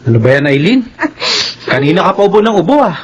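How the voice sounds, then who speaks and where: An actor playing a brusque, unsympathetic young older brother in a radio drama, chiding and dismissive. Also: male voice, a little high, big pitch swings, fast talker, muffled recording, noisy background.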